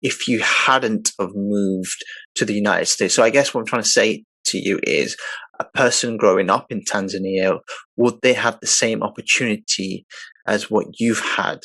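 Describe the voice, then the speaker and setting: A man's voice: fairly low pitch, moderate pace, sharp tone, no room sound. A man hosting an interview, asking long, wordy questions with hesitations. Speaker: interviewer, host.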